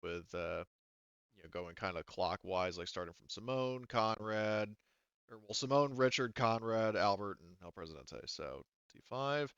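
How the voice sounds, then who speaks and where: Smooth, low-voiced man running a game. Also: lively intonation, a bit bright, no echo, highs cut off. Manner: halting, talks through rules while thinking aloud. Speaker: game master. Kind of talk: casual tabletop game running.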